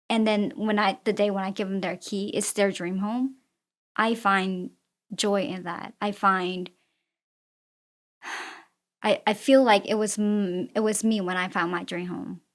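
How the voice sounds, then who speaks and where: A woman who is a native Chinese speaker, answering interview questions as a real estate agent in an earnest, halting way. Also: medium pitch. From immigrated with a Chinese-speaking family. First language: Chinese (Mandarin and Fujianese).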